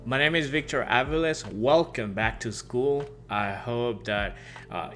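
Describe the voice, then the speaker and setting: A man's voice: medium pitch, a bit bright. A man in his thirties from Puerto Rico, a native Spanish speaker with a Puerto Rican accent, giving a friendly, rambling welcome talk to parents as a teacher, full of fillers and restarts. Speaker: high school teacher and advisor. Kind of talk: casual welcome video for parents.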